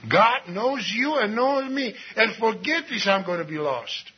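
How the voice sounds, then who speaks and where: Man, preacher, emphatic, fairly high voice, dull lo-fi recording. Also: expressive, husky. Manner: emphatic, swings between low asides and raised exclamations; reads scripture slowly and deliberately. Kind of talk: sermon. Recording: dull lo-fi recording with faint noise.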